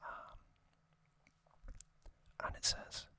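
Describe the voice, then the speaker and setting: An ASMR host narrates in a breathy whisper with a very bright, airy edge. The delivery is very slow, broken into short soft phrases with long pauses between them. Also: no room sound. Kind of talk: whispered ASMR narration recapping an anime series.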